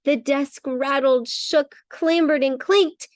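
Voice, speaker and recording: High-voiced woman reading a children's story, unhurried. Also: no echo, studio quality. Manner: expressive, emphatic with repeated words. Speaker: storybook reader. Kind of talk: children's bedtime story narration.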